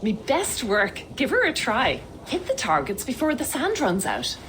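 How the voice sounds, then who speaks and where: High, swooping, playful bow-maker. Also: female voice, huge pitch swings, unhurried, fairly bright tone, a little hiss or hum, heavily acted. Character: spirited, playful Irish bow-maker woman. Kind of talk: video game cinematic acting.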